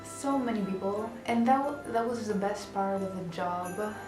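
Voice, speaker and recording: Slow-talking Greek-accented young woman. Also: medium pitch. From Greece. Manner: chatty, many fillers and restarts. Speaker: vlogger. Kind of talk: casual vlog chat. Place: small bedroom indoors. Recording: roomy echo, music behind. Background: background music.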